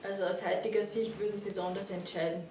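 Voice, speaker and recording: A woman's voice: medium pitch, flat delivery, quick pace, dark tone, a little hiss or hum. Distant woman interviewer in a roomy space. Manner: brief, prompting questions. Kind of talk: interview questions. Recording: roomy, off-mic, faint ambience.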